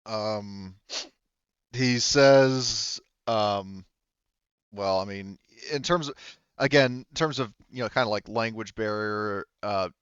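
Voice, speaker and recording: A man improvising as game master of a tabletop roleplaying game, halting as he searches for words and swearing casually. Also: lowish voice, a bit bright, clean tone, dry recording, highs cut off. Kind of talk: improvised narration of a tabletop roleplaying game, relaying characters' replies.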